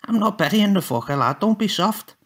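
Brief: man doing a menacing grandad, barking, loud and raised, blunt scolding hard case. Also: high-pitched, expressive, fairly quick, slightly rough, voice pushed up. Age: older.

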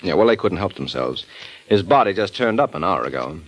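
A tough, sardonic private detective from an old radio drama. He talks in a slightly low voice, trading wisecracks and needling suspects with dry confidence. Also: big pitch swings, fairly quick, low-quality recording. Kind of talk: 1940s radio drama acting.

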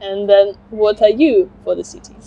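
A woman's voice: medium pitch, steady tone, moderate pace, gravelly, dull audio. A woman interviewing strangers outdoors, encouraging them with short affirmations. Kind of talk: vox pop interviewing. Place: outdoor fair in a park.

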